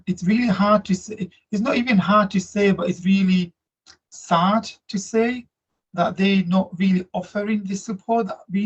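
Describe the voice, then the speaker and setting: A man in his thirties from Slovakia with a Slovak accent, answering interview questions earnestly in halting English. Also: high-pitched, husky. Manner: earnest, reflective, halting non-native grammar. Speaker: guest, migrant workers support officer. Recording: dull lo-fi audio.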